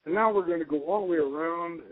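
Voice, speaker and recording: A man leading a ritual as host, moving between informal instruction and solemn invocation in a halting, deliberate manner. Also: on the high side, heavy background noise. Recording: narrow tinny phone-like radio sound.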